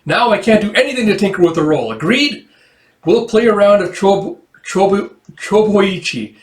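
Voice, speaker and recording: A man voicing a sly, playful, excitable young woman who hustles dice games, in a brash, theatrical manga read-aloud. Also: sits high, moderate pace, voice pushed up. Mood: brash, eager. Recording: roomy, echoey room.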